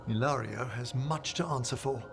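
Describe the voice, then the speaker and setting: A man's voice: medium pitch, moderate pace, gravelly, natural voice. A man playing a composed, courtly necromancer in a video game, formal and solemn, with music behind him.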